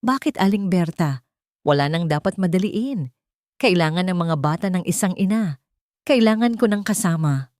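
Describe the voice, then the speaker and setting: A woman's voice: lowish voice, expressive, moderate pace, darkish tone, dry recording, studio quality, lightly acted. A woman playing a tired, earnest and pleading widowed father in a narrated romance story. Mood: insistent. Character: weary, earnest widowed father, wealthy businessman.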